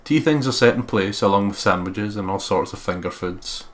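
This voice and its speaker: A man's voice: fairly low pitch, normal speed. A man narrating a visual novel aloud as a let's player, reading expressively. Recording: faint background noise.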